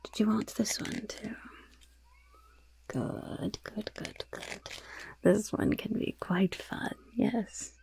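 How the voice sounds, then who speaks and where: Casting: woman as a wicked, chatty goblin shopkeeper for ASMR roleplay. Heavily creaky, whispered delivery, sly and courteous. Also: medium pitch, fairly even intonation, takes it slowly, long pauses, mellow. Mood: sly, delighted. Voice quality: breathy, husky whisper with gravelly creak. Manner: sly, polite, hesitant patter with repeated words. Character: gleefully wicked, chatty goblin shopkeeper selling poisons and curses.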